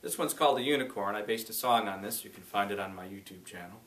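A middle-aged man reading aloud from an inspirational book, deliberate and dramatic, with emphatic pauses. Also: lowish voice, a bit bright. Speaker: reader, blog creator. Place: small bright room indoors. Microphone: camera a few metres away. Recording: roomy echo, distant microphone.

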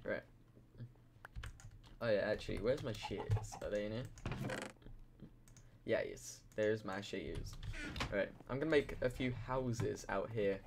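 A man's voice: lowish voice, unhurried, creaky, pauses often, noisy background. A young man just woken up, rambling casually and drowsily as he comments on his gameplay. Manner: rambling, drowsy, self-mocking. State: just woken up, deep morning voice.

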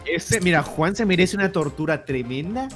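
Excitable high-voiced man. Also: normal speed, faint music behind. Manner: loud, excitable, teasing. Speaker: co-player. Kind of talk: casual banter while playing a game.

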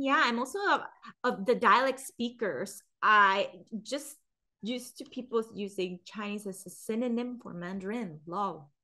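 Young woman from Macau, bright and slightly high, animated and quick to laugh; casual podcast co-host on an earphone mic over a video call. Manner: animated, laughs often, swings high when mocking. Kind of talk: casual chat reading comments. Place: indoor room, video call split screen.

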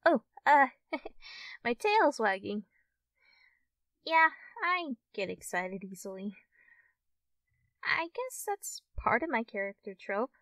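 Slow, high-voiced, friendly fox girl, played by a woman. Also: sing-song, fairly bright tone, no echo, recorded from a distance, exaggerated voice. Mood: friendly, playful. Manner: hesitant, halting, giggly, addressing the listener as a friend. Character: friendly young fox-tailed cartoon studio girl, shy, easily excited. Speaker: roleplay performer. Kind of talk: ASMR character roleplay.

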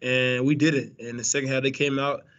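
A man's voice: mid-range voice, level tone, fairly quick, a bit bright, muffled recording. A young man, a college quarterback, answering post-game press questions in a laid-back way with lots of fillers and restarts, over a video call. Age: young adult. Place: indoor press room, branded backdrop. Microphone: video call, webcam.

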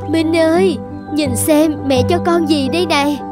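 An actress voicing a gentle, self-sacrificing young peasant mother in a Vietnamese cartoon, speaking tenderly. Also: high-pitched, unhurried. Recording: loud background music. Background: music.

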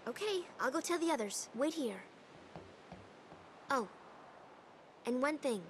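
A woman's voice: high voice, expressive, slow pace, slight background noise, full character voice. An actress playing a cheerful, helpful young woman in a video game cutscene. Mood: chirpy.

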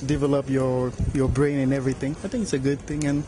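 A man's voice: medium pitch, level tone, fast. Young man from cameroon, fluent, fillers, faint noise around. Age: young adult. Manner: fluent, many "you know" fillers. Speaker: student. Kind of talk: casual interview answers. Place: large bright room at a game jam. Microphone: handheld mic held by interviewer.

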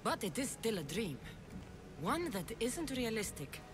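Blunt, sceptical warrior woman, swooping pitch. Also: very animated, unhurried, background noise. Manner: direct, questioning, guarded. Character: blunt, sceptical young female mercenary warrior of ancient Greece. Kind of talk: dramatic video game cutscene acting.

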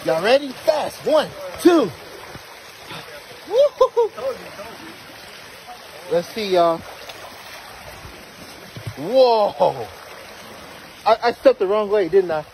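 A man's voice: high voice, sing-song, darkish tone. A man chatting casually to viewers as he films a hike, with a playful, exclamatory manner and folksy turns of phrase. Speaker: vlogger filming a hike. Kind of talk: casual trail vlog chat addressed to viewers. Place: outdoors on a canyon trail by a stream. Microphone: handheld phone camera. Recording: noisy outdoor phone audio, rushing stream.

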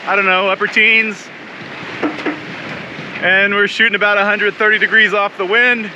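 Relaxed chatty man on a sailboat. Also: sits high, moderate pace, dull audio, noisy background. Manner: relaxed, chatty, laughs easily. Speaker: sailing vlog host. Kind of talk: casual chat to camera. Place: outdoors on a sailboat at sea, windy. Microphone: action camera held close at arm's length.

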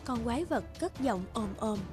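Woman narrator for a fairy tale: scripted storytelling, somewhat high voice, light music bed. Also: animated, normal speed.

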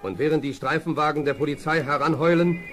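A man narrating a scripted children's radio play in a measured, dramatic storytelling manner. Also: a little high, steady tone, over music. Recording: old radio play, background noise.

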